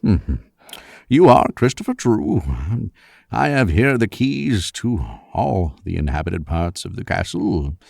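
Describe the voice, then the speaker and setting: A man's voice: lowish voice, lively intonation, slow pace, vocal fry, darkish tone, no echo, clean studio sound, exaggerated voice. An actor playing a courtly, absent-minded older professor and father in a vintage-style radio mystery, formal and musing. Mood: formal, cautious.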